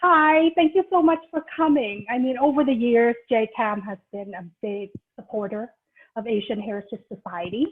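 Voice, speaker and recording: A woman's voice: fairly high pitch, moderate pace. A middle-aged woman from Vietnam informally hosting an online ceremony in a chatty, giggly manner. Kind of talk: informal hosting on a group video call. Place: remote online video call. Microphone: video call microphone. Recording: tinny, band-limited video call audio.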